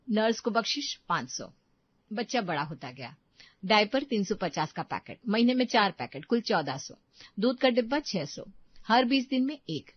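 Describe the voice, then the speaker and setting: A woman narrating a moral story dramatically, in deliberate short phrases. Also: mid-range voice, dry recording, highs cut off.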